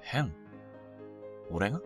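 An actor playing a cocky, flippant younger high-school boy who hides some hurt, in a youth romantic-comedy voice drama. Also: drawn-out words, music in the background. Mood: quiet, brooding. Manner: flippant, teasing, casual junior-to-senior speech.

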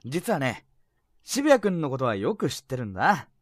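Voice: mid-range voice, sing-song, normal speed, no echo, studio quality. An actor playing a cheerful, confident teenage male club president with a theatrical, friendly manner, in a youth voice drama. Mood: cheerful, breezy. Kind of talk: Japanese youth romantic comedy voice drama.

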